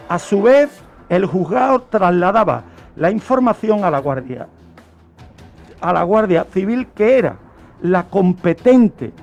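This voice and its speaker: A high-voiced man from Spain narrates true crime as a radio presenter. He phrases things deliberately and repeats words for emphasis. Music plays faintly behind him. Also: a little mellow. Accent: Spanish from Spain.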